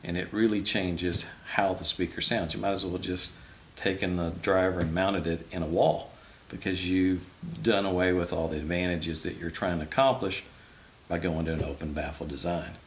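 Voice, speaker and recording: A man's voice: low voice, moderate pace. A man in his fifties giving an informal, matter-of-fact technical explanation in a small room, speaking off the cuff to a camera a few feet away. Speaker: host explaining audio equipment. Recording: steady background hiss.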